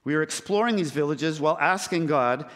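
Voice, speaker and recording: A man preaching a sermon as a missionary, earnest, with measured and emphatic pauses. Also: a little high, fairly bright tone. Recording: long hall reverb.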